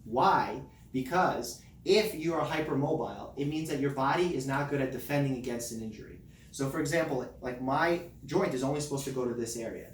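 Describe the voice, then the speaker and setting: A man who is a doctor, calmly explaining health matters in plain everyday words during a casual chat. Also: mid-range voice, brisk, echoey room, recorded from a distance, slight background noise. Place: hot room under studio lights.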